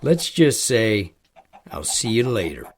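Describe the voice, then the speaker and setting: A man playing a kindly, burly older farmer in an audio drama, gently insistent in manner. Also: fairly low pitch, big pitch swings, takes it slowly, full character voice. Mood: kindly, firm. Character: kindly burly farmer, father of grown son.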